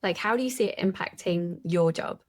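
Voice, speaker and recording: A woman's voice: fairly low pitch, moderate pace. A woman co-hosting a podcast interview, asking questions in a conversational style.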